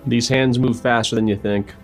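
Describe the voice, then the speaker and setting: A man's voice: mid-range voice, steady tone, normal speed. A man playing a tabletop game, speaking casually and in character as a player. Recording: music under the voice.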